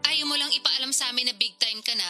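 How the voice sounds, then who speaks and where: A nagging older sister in a radio drama. Her voice is high and piercingly bright, full of sarcasm and pushy needling. Clear music plays behind her. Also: fast. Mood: sarcastic, teasing.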